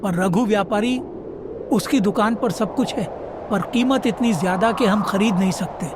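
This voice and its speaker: Indignant cartoon villager, voiced by an actor, mid-pitched, hissy track. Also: normal speed, natural voice. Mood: urging. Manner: indignant, reproachful. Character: outspoken adult villager, indignant and firm.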